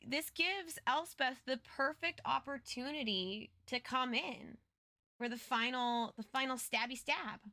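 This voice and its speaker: Bright, high-voiced woman co-host. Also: measured pace, no echo. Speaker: co-host of a story recap show. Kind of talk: casual commentary and chat.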